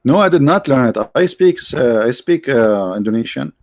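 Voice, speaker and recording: A man's voice: medium pitch, lively intonation, moderate pace, darkish tone, no room sound, studio quality. A man hosting a livestream, talking casually and sarcastically, with many restarts and fillers. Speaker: livestream host.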